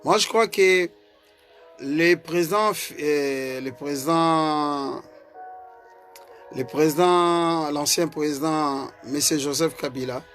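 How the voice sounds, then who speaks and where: A man's voice: on the high side, a bit bright. A man with a Congolese French accent gives an earnest political commentary as a party vice-president, hesitating and repeating words as he goes. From Congo (DRC).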